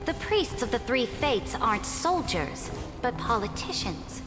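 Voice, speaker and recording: A proud, resolute female imperator speaks with measured authority. Her formal game dialogue rings in a long reverb, with music clearly behind it. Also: fairly high pitch, very animated. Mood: stern, resolute.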